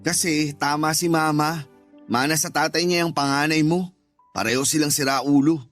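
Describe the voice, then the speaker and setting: Irritable, defensive stepfather played by a man, high-pitched for a male, radio drama with light music bed. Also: steady tone, moderate pace, fairly bright tone, natural voice. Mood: dismissive, cold. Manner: dismissive, argumentative, defensive. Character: callous, irritable husband and stepfather, resentful of the stepchild. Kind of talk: Tagalog radio drama acting. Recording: music behind the voice.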